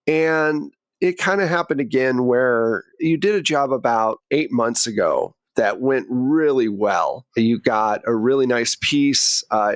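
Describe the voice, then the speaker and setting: A man with a medium-pitched voice acts as game master. He talks conversationally, moving between hosting and narrating the scene for a tabletop roleplaying game. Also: no echo.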